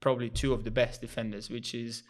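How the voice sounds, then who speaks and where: A man in his thirties with an Italian accent, chatting as a podcast guest in slightly broken English, relaxed and laughing often. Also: medium pitch, quick pace. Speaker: guest footballer. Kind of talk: casual podcast chat.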